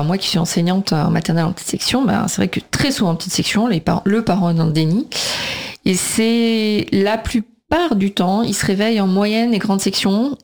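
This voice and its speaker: A woman's voice: medium pitch, gravelly, a little mellow. A woman talking conversationally as a radio guest, emphatic and talkative, often voicing what other people say. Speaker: radio guest, preschool teacher and mother.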